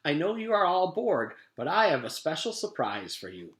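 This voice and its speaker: A man's voice: on the high side, huge pitch swings, moderate pace, fairly bright tone, voice pushed up. A man voicing a strict but cheerful woman teacher addressing her class. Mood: teacherly. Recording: echoey room.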